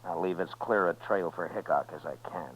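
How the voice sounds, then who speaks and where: An actor playing a steady, wry Old West marshal in a 1950s radio western, with an American accent and a dry, laconic delivery. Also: male voice, low-pitched, fairly quick, slightly rough. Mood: watchful, decisive. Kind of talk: 1950s radio western acting with first-person narration. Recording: muffled 1950s radio recording with music.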